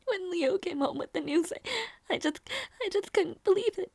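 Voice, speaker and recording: A woman playing a gentle young princess in an intimate audio roleplay, devoted and emotional, with a breathy, halting delivery. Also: very high voice, expressive, dry recording, full character voice. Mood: tender, emotional. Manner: breathy, halting, stammering, often near whisper.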